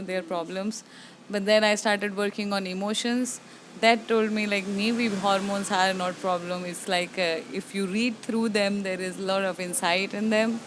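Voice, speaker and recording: A woman speaking casually and haltingly, searching for words in a personal video diary. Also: mid-range voice, slight background noise, rough recording. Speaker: art healing practitioner.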